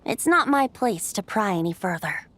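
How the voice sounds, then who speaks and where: A woman's voice: medium pitch, big pitch swings, moderate pace, a bit bright, slight background noise. A woman playing a polite, playful young woman in a fantasy video game. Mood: thoughtful. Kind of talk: video game character acting.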